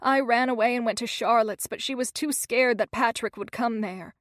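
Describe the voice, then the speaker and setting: A scared teenage girl on the run, as a woman reads her, talking in rough, broken grammar. Her voice sits high and tight in a dry, close storybook reading. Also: moderate pace, no echo, natural voice. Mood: frightened. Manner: rough, ungrammatical speech. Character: frightened teenage girl, battered runaway.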